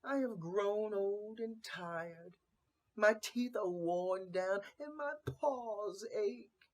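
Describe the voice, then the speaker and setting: A mournful old she-cat from a storybook, played by a man, pitched high and drawn out very slowly. She sighs through her words, tired and unsure of herself. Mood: mournful, weary. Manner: mournful, slow, sighing delivery. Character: weary old female cat, sad and hesitant.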